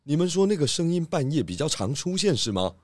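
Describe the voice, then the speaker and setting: A man with a Taiwanese Mandarin accent voicing a calm male pig detective in a children's audio drama, measured and good-humoured. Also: fairly high pitch, no room sound, studio quality. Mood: calm, reasoning.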